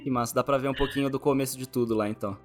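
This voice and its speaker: A man's voice: medium pitch, fairly even intonation, very fast. Brazilian man hosting a casual podcast, music behind. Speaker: podcast host. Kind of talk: casual interview chat.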